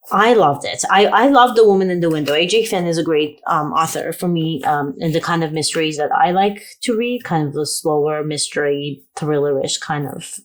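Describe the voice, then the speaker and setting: A woman with a somewhat low voice chats casually to camera about her reading, rambling and restarting her sentences. She has a cold and sounds a little sniffly. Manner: chatty, rambling, many fillers and restarts. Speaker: book vlogger. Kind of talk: casual video chat.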